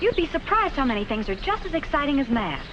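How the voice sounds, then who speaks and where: Young college woman, high animated voice, bossy and self-absorbed, on a noisy 1950s film soundtrack. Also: fast talker, lo-fi sound. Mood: impatient. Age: young adult. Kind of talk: 1950s educational film acting.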